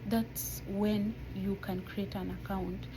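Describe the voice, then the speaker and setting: A young woman with a Kenyan accent explains things in a relaxed, informal way, peppering her talk with "like". Her voice sits at a middle pitch. Steady background noise is clearly heard behind her. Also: slow pace. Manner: informal, uses many "like" fillers. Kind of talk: casual explainer video. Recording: noisy background.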